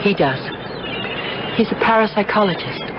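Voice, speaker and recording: Actress as arch, sardonic temptress, muffled radio. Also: female voice, mid-range voice, lively intonation, moderate pace, natural voice. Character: worldly, sardonic married American woman, seductive and guarded. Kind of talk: 1970s radio drama acting. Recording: dull, noisy 1970s radio broadcast.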